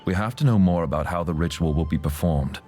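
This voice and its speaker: A man's voice: low-pitched, fast talker, dark tone, soft background music, close to an everyday voice. A man playing a wry, scheming infiltrator in a video game, talking in a conspiratorial way. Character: wry, scheming male infiltrator, sardonic ally. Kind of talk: video game dialogue acting.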